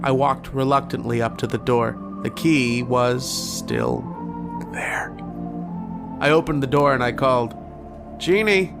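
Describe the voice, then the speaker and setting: An actor playing a haunted, anguished man telling his story in a halting, dramatic old-time radio horror style. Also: mid-range voice, sing-song, music in the background. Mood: haunted, uneasy. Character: haunted, anguished man recounting his nightmares and lost love.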